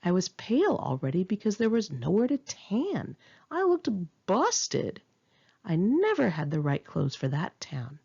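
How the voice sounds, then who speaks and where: A woman's voice: lowish voice, gravelly, warm tone, breathy edge, dull audio. A woman reading her own memoir aloud in a candid, informal, wry conversational style. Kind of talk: conversational memoir narration, read in one take.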